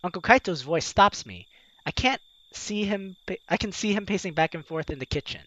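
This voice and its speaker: A man's voice: high voice, lively intonation, normal speed, bright, forceful tone, no room sound, highs cut off. A man hosting a game playthrough, reading narration and dialogue aloud expressively, with casual asides. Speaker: host of a video game playthrough.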